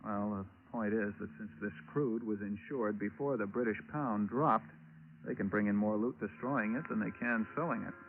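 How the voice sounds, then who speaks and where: An actor playing a cagey, seasoned investigator working undercover, talking in terse slang in a 1940s radio drama. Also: male voice, fairly low pitch, moderate pace, lightly acted. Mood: guarded, confiding. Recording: very muffled, noisy old radio recording.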